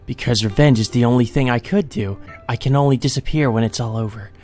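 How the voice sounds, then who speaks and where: A man's voice: medium pitch, quick pace, natural voice. A man voicing an emotionless, doll-like young maid from a visual novel, detached and wistful. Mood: resigned, sad. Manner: detached, wistful, softly confessing. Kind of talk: visual novel character reading. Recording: music under the voice.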